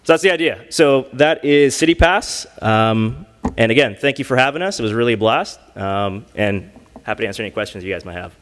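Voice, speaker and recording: A man presents a tech demo on a conference stage, halting often with ums and restarts. His voice is mid-pitched, and a long hall reverb trails each phrase. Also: lively intonation, fairly bright tone. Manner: halting, full of fillers and restarts. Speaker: hackathon team presenter.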